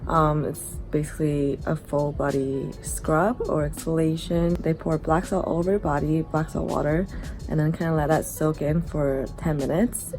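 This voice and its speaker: A young woman chatting casually to camera as a travel vlogger, relaxed and drowsy, recorded at arm's length indoors. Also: deep voice. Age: young adult. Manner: relaxed, slow, drowsy after a massage. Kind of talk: casual vlog chat to camera. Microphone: camera held at arm's length. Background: background music.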